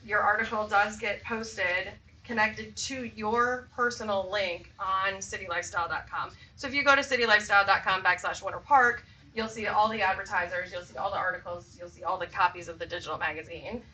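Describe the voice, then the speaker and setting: A woman's voice: mid-range voice, steady tone, fairly quick, few pauses, bright, forceful tone. A woman with an upbeat, salesy manner answers audience questions as a magazine publisher giving a presentation. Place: event room with audience. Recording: roomy far-off mic, faint noise.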